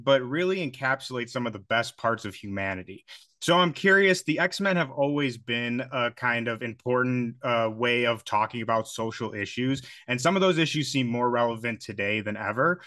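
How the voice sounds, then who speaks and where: A man's voice: mid-range voice, sharp tone, no room sound, studio quality. A young man asking chatty, enthusiastic questions as interviewer in a casual video call. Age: young adult.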